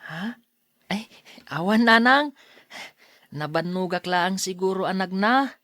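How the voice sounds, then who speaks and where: A timid schoolboy, acted by a woman in an audio drama, polite and easily frightened. The delivery is hesitant and bashful, at a middling pitch, recorded close and dry. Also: animated, slow pace, fairly bright tone, no room sound. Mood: sheepish.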